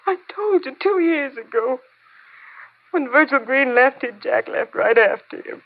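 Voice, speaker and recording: A woman's voice: sits high, animated, a little slow, heavily acted. An American woman acting a poor, abandoned mother in an old radio drama, weary and bitter, with an emotional, melodramatic delivery. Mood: despairing. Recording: tinny, narrow-band old radio recording.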